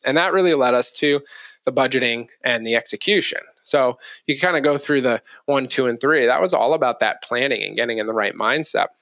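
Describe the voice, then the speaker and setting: A man's voice: medium pitch, fairly quick, a bit bright, dry recording, muffled recording. A man explaining business informally as a podcast guest, thinking aloud and correcting himself as he goes. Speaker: podcast guest, marketing executive.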